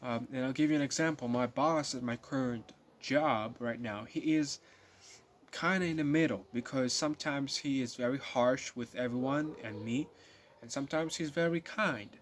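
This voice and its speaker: A man's voice: medium pitch, normal speed, a little hiss or hum. A nineteen-year-old man talking casually to his viewers in a rambling monologue, full of fillers and restarts. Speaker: vlogger.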